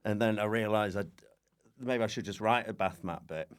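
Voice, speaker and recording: A man's voice: lowish voice, moderate pace, clean tone. A man, a comedian guest, chatting casually and telling rambling, self-deprecating anecdotes.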